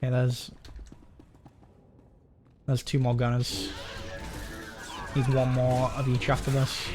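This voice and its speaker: A man's voice: mid-range voice, completely flat delivery, slow. A man live streaming a game, rambling casually and thinking aloud as he comments and chats.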